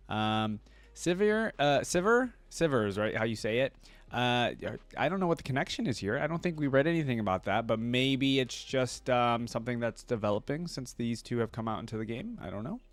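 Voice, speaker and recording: A man's voice: fairly low pitch, no echo. A man hosting a reaction video, who talks casually and enthusiastically, rambling with lots of fillers.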